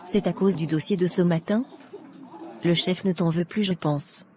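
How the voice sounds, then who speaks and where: Woman as a mellow, low-voiced nosy colleague. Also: moderate pace, natural voice. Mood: concerned, knowing. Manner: confiding, familiar. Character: gossipy, nosy adult female office colleague. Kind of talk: casual dialogue in an animated short. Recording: faint background noise.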